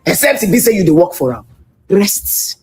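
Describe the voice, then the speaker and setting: A woman's voice: mid-range voice, very animated, normal speed, darkish tone. A Nigerian woman hosting a political commentary vlog, talking bluntly and slipping Pidgin into her English. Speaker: host.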